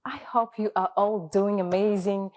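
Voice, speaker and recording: An upbeat woman hosts a studio talk show, pressing her encouragements with emphasis and a hopeful, faith-tinged warmth of message. Her speaking pitch sits in the middle of the usual range for a woman. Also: fairly even intonation, normal speed. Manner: encouraging, emphatic, with spiritual wording. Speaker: host.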